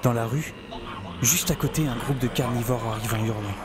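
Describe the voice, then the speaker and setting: A man's voice: mid-range voice, moderate pace, heavy background noise, natural voice. A man playing a wry, self-mocking survivor who narrates his misadventures in an audio serial with sardonic humour.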